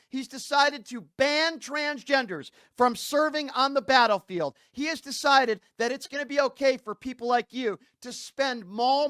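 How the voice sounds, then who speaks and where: A man with a notably high-pitched voice hosts a heated political call-in show. He is combative and indignant, pressing his point with emphatic rhetorical questions. The sound is dry, with hardly any room to it. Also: sharp tone, no echo.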